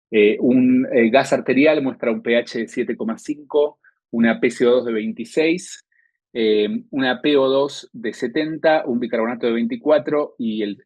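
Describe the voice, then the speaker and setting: A middle-aged man from Argentina with an Argentine accent, an intensive care doctor giving an online lecture in a measured way, with many hesitant fillers. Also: mid-range voice, slightly rough, dry recording. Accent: Argentine Spanish.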